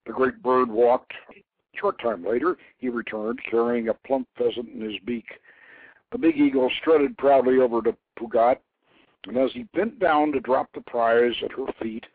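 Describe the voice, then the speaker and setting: A man narrating an ancient legend as a storyteller, with theatrical, grandiose and deliberate phrasing. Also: medium pitch, dry recording. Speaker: storyteller, host reading his own novelette. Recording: very dry internet radio, narrow phone-like band.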